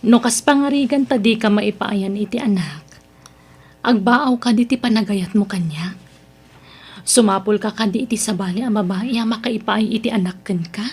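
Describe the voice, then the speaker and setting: Woman acting a breathy, gentle Ilocano wife. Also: medium pitch, moderate pace. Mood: sad, pleading. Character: gentle, insecure childless wife. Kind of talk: Ilocano radio drama acting.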